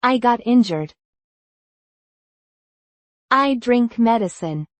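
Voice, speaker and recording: A woman reading short English lesson phrases in a slow, even drill style. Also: on the high side, no echo. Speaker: English teacher voice.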